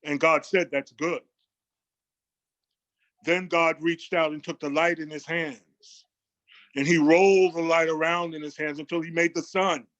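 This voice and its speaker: A man playing a grand reverend, reciting a poem like a sermon with deliberate, emphatic storytelling. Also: fairly high pitch, level tone, a bit bright, dry recording, highs cut off. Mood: grand, reverent. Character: grand male reverend comforting his congregation with poetry. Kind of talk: dramatic sermon-like poetry recitation.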